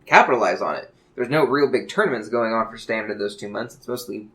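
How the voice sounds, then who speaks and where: A man's voice: on the low side, quick pace, a bit bright, low-quality recording. Man chatting enthusiastically on a gaming podcast. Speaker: podcast co-host discussing a card game. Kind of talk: casual chat.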